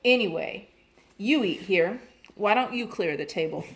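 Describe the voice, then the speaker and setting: A sassy, impudent little girl from a storybook, pouty and always answering back, as a woman reads her part aloud. Her voice climbs and swoops as she talks back, with a slow, drawn-out delivery. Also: medium pitch, lively intonation. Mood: defiant.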